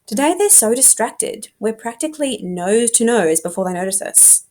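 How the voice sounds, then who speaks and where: A woman reading a young adult novel aloud like a teacher, in an informal, expressive storytelling manner. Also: on the high side, normal speed.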